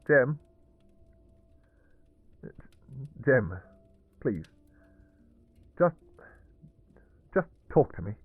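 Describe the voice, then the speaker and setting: A man playing an anguished, devoted vampire king in a fantasy romance audio roleplay, speaking in halting, pleading, formal old-fashioned phrases. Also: mid-range voice, sing-song, husky, no echo, narrow, tinny audio, soft background music, heavily acted. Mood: pleading, terrified. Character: anguished, devoted vampire king, obsessive lover and new father.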